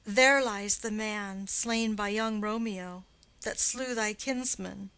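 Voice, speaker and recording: A woman's voice: mid-range voice, steady tone, takes it slowly, fairly bright tone, poor audio. A woman playing a loyal young man who reports events earnestly in a classical stage play. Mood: solemn. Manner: earnest, declamatory. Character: loyal young male friend, urgent bearer of bad news.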